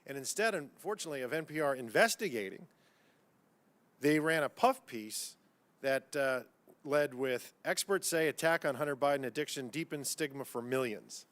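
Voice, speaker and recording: A man's voice: mid-range voice, normal speed, fairly bright tone. Man, combative folksy congressman. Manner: folksy, combative, uses y'all. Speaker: congressman questioning witnesses. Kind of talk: pointed questioning at a legislative hearing.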